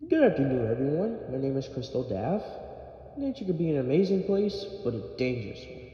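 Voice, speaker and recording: Guy acting as a composed news presenter, mellow and lively pitched, super echoey muffled hall sound. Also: a little fast, natural voice. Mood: calm, serious. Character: composed presenter giving a public warning.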